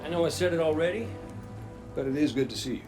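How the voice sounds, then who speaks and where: An actor playing a wry, easygoing resurrected robot gunslinger in a video game cutscene, talking in a folksy, colloquial way. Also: male voice, fairly high pitch, quick pace, natural voice. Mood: warm, practical. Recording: music behind the voice.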